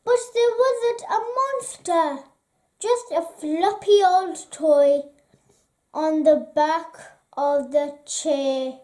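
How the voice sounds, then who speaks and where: A gentle, expressive reader telling a children's bedtime story aloud. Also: high voice, drawn-out words.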